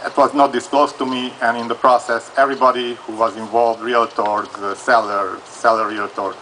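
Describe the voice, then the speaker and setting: A man from Transylvania with a Hungarian accent, telling a long, halting legal story at a live law school event. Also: medium pitch, flat delivery, pushed and bright, slight background noise, low-quality recording. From Transylvania, Romania. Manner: long-winded, halting, with many fillers. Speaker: audience member asking a legal question. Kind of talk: live call-in style legal question.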